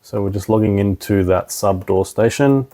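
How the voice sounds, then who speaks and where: Low, gravelly man giving a tutorial. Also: moderate pace, dark tone. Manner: informal, step-by-step explaining. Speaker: presenter, installer trainer. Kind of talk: casual tutorial walkthrough.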